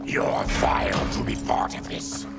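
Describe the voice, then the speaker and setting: An actor playing a grim, honorable monstrous warrior in a video game, with a gravelly, growling voice. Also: male voice, measured pace, loud music behind the voice, natural voice. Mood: disgusted. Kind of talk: dramatic video game voice acting.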